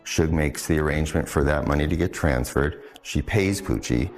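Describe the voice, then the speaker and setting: Male interviewee, investigator type, extremely low bass voice, explanatory, faint music behind. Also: normal speed. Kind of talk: spoken interview account.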